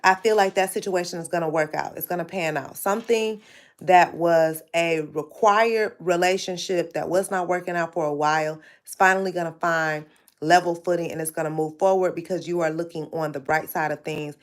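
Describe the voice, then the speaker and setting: A woman's voice: fairly low pitch, steady tone, normal speed. A woman hosting a casual live tarot reading, chatting straight to her viewers, her voice stuffy and nasal from sinus trouble. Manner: chatty, addresses viewers directly. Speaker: tarot reader hosting a livestream.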